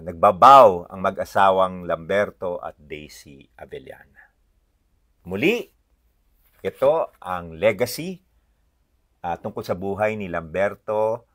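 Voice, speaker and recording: A man with a Filipino accent informally presenting a book's illustrations, often pausing mid-sentence to find his words. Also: on the low side, a bit bright. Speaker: read-aloud presenter. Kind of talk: informal commentary showing book illustrations.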